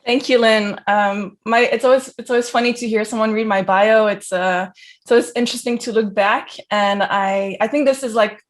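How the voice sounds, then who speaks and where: A woman's voice: fairly high pitch, steady tone, normal speed. A young woman from Geneva, Switzerland, giving an informal autobiographical talk in an effusive, conversational manner peppered with filler phrases. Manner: conversational, effusive, frequent "like" and "you know". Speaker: guest speaker, crypto entrepreneur.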